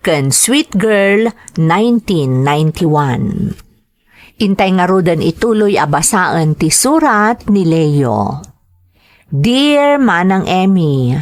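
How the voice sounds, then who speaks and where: A woman with an Ilocano accent hosting a story podcast, reading out greetings and a listener's letter. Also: fairly low pitch. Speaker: podcast host and story narrator.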